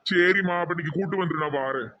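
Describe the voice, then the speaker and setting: A man as a fast-talking, earnest cartoon big brother. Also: on the high side, dull audio, heavy background noise, lightly acted. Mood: advising, reassuring. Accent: southern Tamil Nadu. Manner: earnest, gently advising. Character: kind, principled young elder-brother figure, earnest and generous. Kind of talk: amateur cartoon voice acting.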